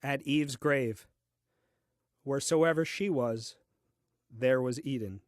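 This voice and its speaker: An actor playing a bemused, earnest first man reading his diary in a comic audio play, deliberate and puzzled. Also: medium pitch, dry recording, natural voice. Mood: tender, regretful. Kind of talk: audio play diary monologue, dry comedy.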